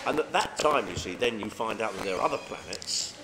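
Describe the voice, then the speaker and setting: A man's voice: on the low side, a little fast. A middle-aged man, an author, telling anecdotes in casual, chatty interview conversation. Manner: chatty, animated storyteller, frequent tag questions. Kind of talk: casual pub chat, anecdotes. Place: pub in Brighton. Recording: noisy pub recording with clicks.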